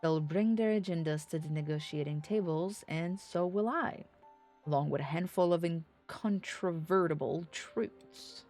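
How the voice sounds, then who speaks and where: A woman voicing an earnest young nobleman diplomat from a fantasy game, with formal, measured, slightly pompous archaic speech. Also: on the low side, darkish tone, faint music behind, lightly acted. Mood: self-assured, scheming.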